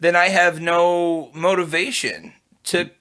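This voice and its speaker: A young man with a low voice, rambling casually on a podcast, with constant fillers and swearing. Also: fairly even intonation, takes it slowly, a bit bright. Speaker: podcast guest. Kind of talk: casual chat.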